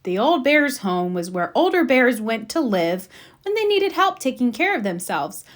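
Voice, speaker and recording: A woman narrates a children's picture book. Her voice sits a little high and moves with lots of melody. She phrases things expressively and emphatically for young listeners. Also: very animated, moderate pace. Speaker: storybook reader.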